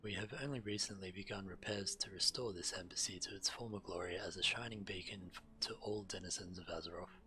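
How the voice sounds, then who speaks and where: A man streaming a game, reading quest text aloud in a measured way, now and then stumbling and correcting himself. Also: low-pitched, fairly even intonation, sharp tone, rough recording. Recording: game music behind the voice. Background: video game music.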